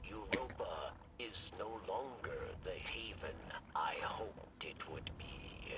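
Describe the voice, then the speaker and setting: An actor playing a grave, weary four-armed alien captain, an exiled rebel speaking solemnly in a video game. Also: male voice, sits low, far from the mic, exaggerated voice, rough recording. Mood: grave, urgent. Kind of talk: scripted video game dialogue.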